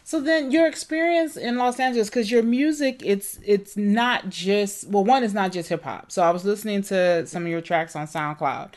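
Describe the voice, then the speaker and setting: A woman hosting a podcast, introducing the show and then interviewing a guest in a relaxed way, with frequent ums and restarts. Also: mid-range voice, normal speed, hardly any pauses. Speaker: podcast host.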